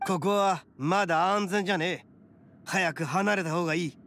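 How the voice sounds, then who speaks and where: A man playing a brash, kind-hearted young oni gang boss in an anime game, with rough, swaggering speech. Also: sits high, moderate pace, a bit bright, a little hiss or hum. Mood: proud. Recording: game music behind the voice.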